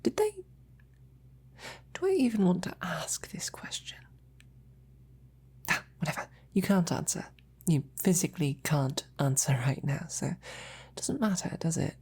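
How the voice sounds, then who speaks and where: A teasing, devoted female knight played by a woman speaks in intimate audio roleplay. Her voice is dark and husky, often dropping to a breathy whisper. She takes her time over courtly, flirtatious phrases. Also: lowish voice, big pitch swings, unhurried, long pauses, full character voice. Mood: amused, flustered.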